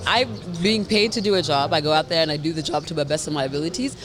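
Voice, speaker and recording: A young Kenyan woman chatting as a podcast guest, lively and quick to laugh. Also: deep voice, crowd noise. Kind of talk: casual interview chat.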